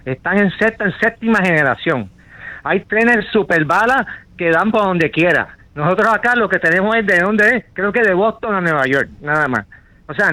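A man's voice: high voice, pushed and bright, no echo, poor audio. A man calling in to a radio talk show, indignant and rambling, talking over the host. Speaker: caller. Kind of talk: call-in radio rant.

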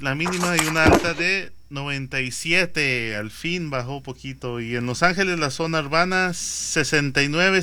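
A man's voice: a little high, pushed and bright, clean tone. A man on a morning radio show chatting casually and giving the weather, dropping in English words. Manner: light, laughs easily, mixes in English words. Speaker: weather reporter.

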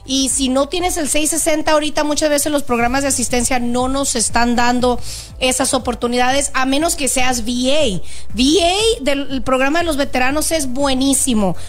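A Mexican woman from Jalisco hosting a radio talk show, upbeat and reading out the phone number slowly. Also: high voice, faint music behind. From Autlán, Jalisco, Mexico. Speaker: radio show host, mortgage agent.

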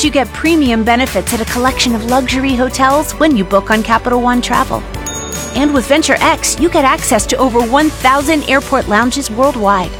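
A woman reads a radio commercial in a smooth, upbeat tone with a slightly high voice. Music plays clearly behind her. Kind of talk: radio ad narration.

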